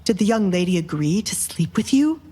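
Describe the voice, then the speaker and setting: A woman's voice: mid-range voice, big pitch swings, brisk, warm tone, husky. A woman voicing a fussy, loyal old male butler who is deferential yet scolding, in a dramatized audio romance.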